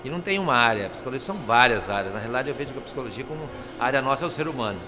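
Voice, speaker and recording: A man, a psychologist, explaining his field in a fast, explanatory way in a street interview, ending points with tag questions. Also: mid-range voice, lively intonation, rough recording. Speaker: psychologist at a congress. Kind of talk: vox-pop interview.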